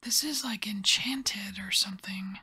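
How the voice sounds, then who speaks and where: A woman softly whispering and musing as she lays out and reads tarot cards in an ASMR style. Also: medium pitch, husky. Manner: soft whispering, musing and unhurried. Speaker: tarot reader.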